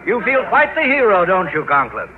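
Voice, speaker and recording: An actor playing a stern, exasperated school official in an old-time radio sitcom, imperious and sarcastic. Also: male voice, high-pitched, wildly swooping pitch, normal speed, phone-quality sound. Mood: sarcastic exasperation. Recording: thin, faintly noisy vintage radio.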